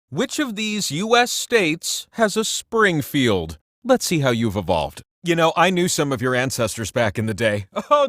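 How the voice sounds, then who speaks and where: Pompous, swooping game-show wheel. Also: male voice, on the high side, a bit bright, no room sound, clean studio sound. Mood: smug. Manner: pompous, playful, grandiose delivery. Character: sentient giant game-show wheel, cocky, ancient male quizmaster. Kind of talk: theatrical scripted quiz show hosting.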